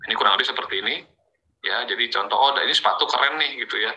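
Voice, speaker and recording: A man's voice: mid-range voice, very fast, sharp tone, dull audio. A man giving an informal, explanatory sales presentation, talking conversationally and often checking in with his listeners using small tag words. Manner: conversational, repeats filler tags to check in with listeners. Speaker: presenter of an affiliate marketing training.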